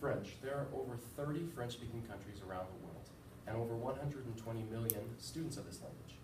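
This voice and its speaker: A man's voice: medium pitch, brisk. Young man, class presentation, casual fillers, distant mic, noisy background. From United States. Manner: casual and self-deprecating, with fillers. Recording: echoey room, far from the mic, with background noise.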